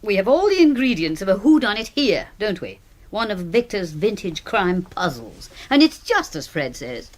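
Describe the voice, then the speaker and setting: An actress playing a wry British spinster crime writer in a radio drama, dry, ironic and articulate. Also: female voice, mid-range voice, sing-song, normal speed. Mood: wry, playful. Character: wry spinster sister, doctor and crime novelist, self-mocking.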